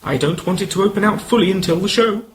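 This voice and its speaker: Man playing a courtly high-voiced old colonel. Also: fast talker, lightly acted. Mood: proud, confiding. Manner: genteel, old-fashioned gallantry. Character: courtly, proud older retired colonel and lily grower. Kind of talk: amateur radio play acting.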